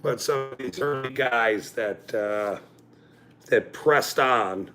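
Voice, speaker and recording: A man in his forties hosting a livestream, ranting angrily with profanity and loud outbursts, then joking about himself. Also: mid-range voice, takes it slowly, a little hiss or hum. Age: 40s. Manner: angry, profane, with loud outbursts and self-mocking laughs. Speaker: channel host. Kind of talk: livestream rant.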